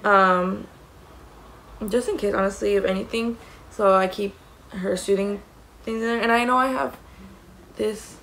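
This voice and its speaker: A young woman with a raspy voice, chatting casually as she shows the contents of a bag to the camera in a small room, rambling with many fillers. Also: mid-range voice, steady tone, a little slow, long pauses, a bit bright, slight background noise, low-quality recording. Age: young adult. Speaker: mom vlogger. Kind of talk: casual show-and-tell vlog. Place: small indoor room against a plain wall.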